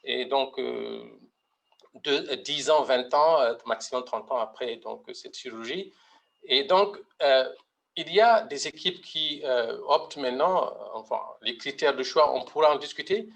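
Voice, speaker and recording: A middle-aged man from Mauritania presents a medical lecture in a webinar. His voice has a gravelly, creaky edge at an ordinary pitch. He speaks haltingly, filling gaps with fillers and starting phrases over. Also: animated. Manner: hesitant, many fillers and restarts. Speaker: pediatric heart surgeon presenting.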